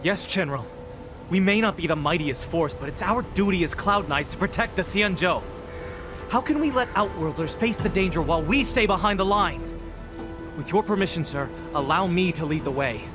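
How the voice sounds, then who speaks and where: A man's voice: high voice, animated, moderate pace, over music. A man playing an earnest, loyal soldier in a game cutscene, respectful and fervent. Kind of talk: video game cutscene acting.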